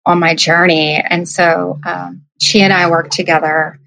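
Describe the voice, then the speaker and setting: A woman's voice: on the low side, little pitch movement, measured pace, creaky, sharp tone, rough recording. A woman in her thirties, a life coach, telling her story in a reflective, hesitant way with many ums. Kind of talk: casual interview storytelling.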